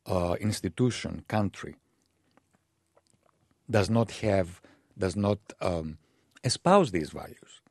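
Man, low and measured. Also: gravelly, a little mellow, dry recording, studio quality. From Greece. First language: Greek. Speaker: guest, literature scholar. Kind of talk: thoughtful interview answers.

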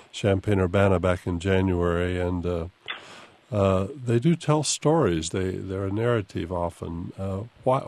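A man hosting a radio interview in a studio, courteous and relaxed, with frequent fillers. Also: low-pitched, dry recording. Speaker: radio host.

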